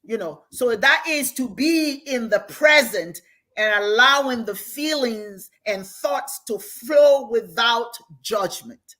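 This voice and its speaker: A woman's voice: a little high, lively intonation, takes it slowly, a bit bright. Earnest older woman teaching. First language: Igbo. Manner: earnest, repeats key phrases for emphasis. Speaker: host and spiritual teacher. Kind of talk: livestream teaching on meditation.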